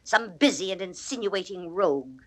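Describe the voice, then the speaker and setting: A woman's voice: fairly low pitch, expressive, normal speed. A woman playing a blunt, fiercely loyal waiting-woman in a classical Shakespearean drama, with emphatic, declamatory delivery. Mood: outraged. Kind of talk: classical Shakespearean stage acting on record.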